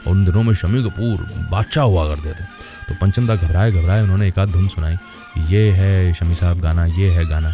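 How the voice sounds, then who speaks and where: A man's voice: low voice, brisk, lo-fi sound, over music. A man hosting a radio show in a conversational storytelling style, introducing old film songs and acting out quoted dialogue.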